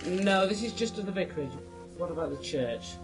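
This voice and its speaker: A man's voice: high voice, animated, brisk. An actor playing an earnest, clever young male time-traveller in a sci-fi radio drama, speaking precisely. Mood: puzzled. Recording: music under the voice.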